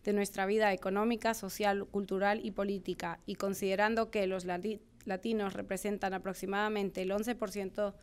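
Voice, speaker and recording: A woman reads a formal proclamation in a steady, formal manner at a podium microphone in a council meeting room. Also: mid-range voice, fast talker, hardly any pauses, slight background noise. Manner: steady, formal, with small restarts. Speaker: council member reading a proclamation.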